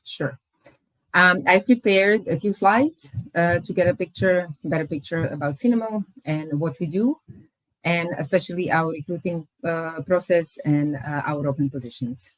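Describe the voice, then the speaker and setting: Low-voiced woman, halting delivery. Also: dry recording, poor audio. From Croatia. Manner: hesitant, many pauses and fillers. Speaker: company recruiter presenting. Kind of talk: webinar presentation.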